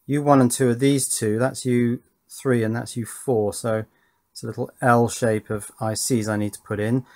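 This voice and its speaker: A man's voice: mid-range voice, steady tone, moderate pace, darkish tone. A man chatting casually as he explains his circuit board build at a home workbench, with wry asides along the way. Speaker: electronics hobbyist presenter.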